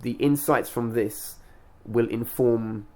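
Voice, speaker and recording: A man hosting a casual interview, speaking in a measured, earnest and affirming manner. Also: mid-range voice, steady tone, darkish tone. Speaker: radio show host and class organiser.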